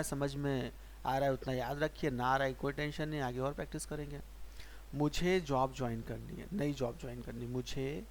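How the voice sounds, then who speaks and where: Guy teaching english grammar in hindi, kinda high voice, asks himself questions, slight hiss. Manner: patient, repetitive, asks and answers his own questions; slows right down to spell out English sentences. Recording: faint hiss.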